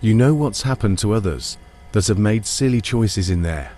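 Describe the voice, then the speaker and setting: A man's voice: on the low side, lively intonation, normal speed, dark tone, slight background noise. A man narrating a first-person dramatised audiobook, also voicing its lead character.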